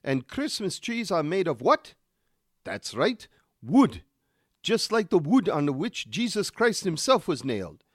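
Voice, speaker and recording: A man's voice: sits high, very animated, unhurried, no room sound, studio quality, exaggerated voice. A man playing an indignant, sanctimonious Christmas zealot in a satirical radio drama, pompous and pedantic in his delivery. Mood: indignant, preachy.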